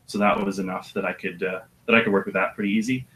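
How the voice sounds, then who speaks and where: An audiobook narrator with a deep voice, talking about his work in a relaxed, thoughtful way with some hesitation. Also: quick pace, slight background noise. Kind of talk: casual interview chat.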